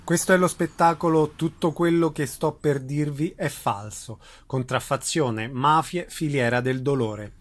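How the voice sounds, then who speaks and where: A man's voice: a little high, unhurried, hardly any pauses. A man hosting a radio programme, presenting and interviewing a guest.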